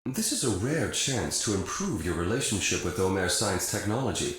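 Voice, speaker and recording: An actor playing a smooth, businesslike mercenary broker delivering a scripted video game mission briefing. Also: male voice, sits low, sing-song, moderate pace, a little hiss or hum. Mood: businesslike, persuasive. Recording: very roomy echo, loud music behind.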